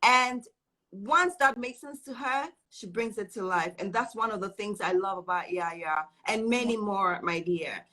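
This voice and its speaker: A woman's voice: medium pitch, unhurried, pushed and bright, low-quality recording. A woman hosting a live online show, chatting casually and showering her guest with encouragement, often repeating herself. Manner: effusive, encouraging, repeats phrases. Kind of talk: casual live-stream chat.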